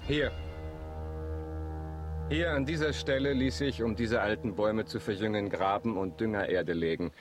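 An actor in a German radio drama playing a suave, insinuating count who is politely and deliberately accusing. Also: male voice, lowish voice, close to an everyday voice. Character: suave, insinuating male count hosting guests, coolly accusing.

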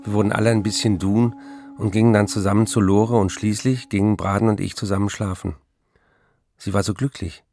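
An actor playing a troubled writer confessing tenderly and remorsefully to his wife in a German radio play, intimate and measured. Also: lowish voice, fairly even intonation, a little mellow, close to an everyday voice. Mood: tender confession. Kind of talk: German radio play, reading letters and memoirs.

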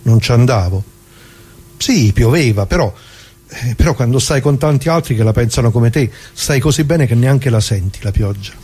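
An actor playing an elderly working-class Italian former bricklayer in a radio drama monologue, plain-spoken, halting and folksy as he reminisces. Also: medium pitch, expressive, dark tone, slightly rough. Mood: earnest, reminiscing. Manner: folksy, halting, with rhetorical tags.

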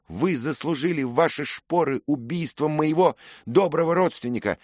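A proud young noblewoman, scornful and accusing, her voice pitched high and loud with sharp, lifting anger, as a male reader puts her on. The recording is dry and close. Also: dry recording, natural voice. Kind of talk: audiobook character reading.

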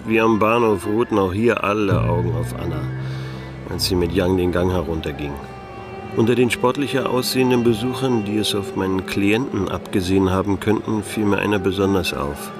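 An actor playing a disillusioned, laconic private detective in a noir radio play, speaking and narrating with dry irony. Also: male voice, fairly low pitch, moderate pace, over music, natural voice. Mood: wry narration. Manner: laconic, dryly ironic.

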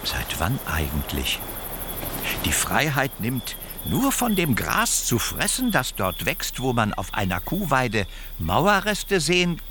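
A man's voice: medium pitch, huge pitch swings, takes it slowly, background noise, exaggerated voice. A man acting as a musing storyteller in a radio play. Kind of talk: musing radio drama narration. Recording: clear insect and chime ambience.

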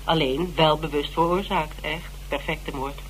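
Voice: medium pitch, fairly even intonation, a little slow, fairly bright tone, close to an everyday voice. A slightly noisy, humming old radio recording. An actor plays an investigator in charge, bossy and impatient, with a dry, sardonic delivery. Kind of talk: Dutch radio drama acting.

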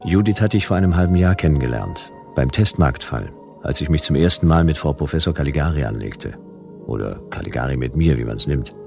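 An actor playing a wry, world-weary private detective in a sci-fi radio drama, with laconic, deadpan narration and dialogue. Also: male voice, a bass voice, brisk, creaky, faint music behind, full character voice.

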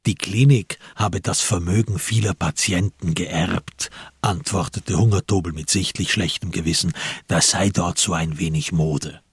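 A man narrating a radio drama from a script, in a measured literary storytelling manner. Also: deep voice, fairly even intonation, husky, dry recording, clean studio sound.

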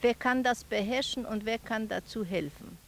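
A woman's voice: on the high side, normal speed, gravelly, a little hiss or hum. A woman playing a resigned, helpless commentator in a subdued radio-play monologue.

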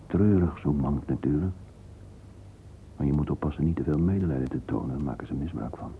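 Wistful middle-aged man in a radio play, super deep voice, slow halting murmur, thin phone-like old recording. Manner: murmuring, halting, fragmentary musing, sometimes whispered. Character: wistful, musing middle-aged man lost in private thought. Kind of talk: radio play interior monologue. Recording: narrow-band lo-fi old radio recording, hissy.

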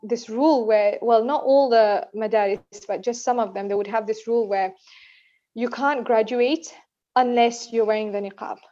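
A woman's voice: a little high, steady tone, moderate pace, mellow. A young woman co-hosting a podcast, telling her story in a casual, chatty way, full of fillers and "you know what I mean". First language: not Urdu. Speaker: podcast co-host. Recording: dull lo-fi podcast audio.

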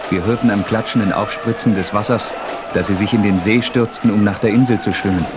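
A man's voice: fairly low pitch, steady tone, brisk, close to an everyday voice. An actor playing a resolute, commanding Western adventurer who narrates his story in a 1970s radio play. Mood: measured storytelling. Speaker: narrator and lead character. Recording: faintly noisy old radio play recording.

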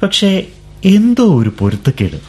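A soft-spoken young intellectual, playful, with a lively swinging pitch, radio drama. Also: male voice, moderate pace, breathy edge. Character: young man, educated, bookish and teasing. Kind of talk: 1970s-style radio drama acting.

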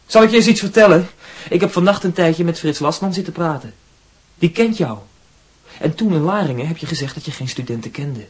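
An actor playing a defensive, hot-tempered young student in a radio drama, with a Netherlands Dutch accent and a husky voice. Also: male voice, fairly high pitch, lively intonation, a little fast. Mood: defensive, sarcastic. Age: young adult.

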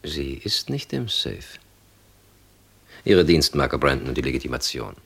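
An actor playing a formal, evasive middle-aged police chief in a radio drama, measured and officious. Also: male voice, extremely low pitch, sing-song, vocal fry, a bit bright, husky, full character voice. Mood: regretful, cold. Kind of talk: German crime radio drama acting.

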